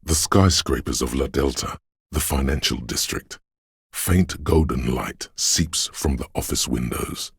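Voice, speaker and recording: A man voicing a brooding inner voice that senses a rainy city, narrating a video game in a slow, solemn, poetic and deliberate way with a gravelly, husky tone. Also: very deep voice, no room sound, clean studio sound, heavily acted. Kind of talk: scripted atmospheric second-person narration.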